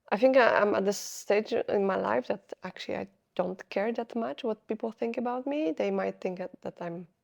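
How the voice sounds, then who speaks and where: A woman's voice: mid-range voice, vocal fry, darkish tone. A young woman with a Polish accent, talking unscripted to camera in a rambling way, stopping often to search for words. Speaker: vlogger. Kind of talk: unscripted casual vlog talk.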